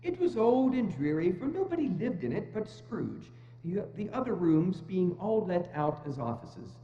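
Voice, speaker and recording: Narrator doing a drama reading, soft dark tone, lively pitch, muffled hall. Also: moderate pace. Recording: muffled, echoey hall, hum.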